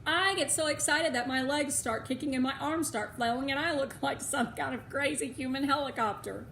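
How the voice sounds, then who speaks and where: High-voiced American woman reading aloud, roomy sound. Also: moderate pace, slight background noise. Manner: animated, emphatic, with occasional asides. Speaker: read-aloud narrator for schoolchildren. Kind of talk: expressive storybook reading. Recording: roomy, echoing indoor sound.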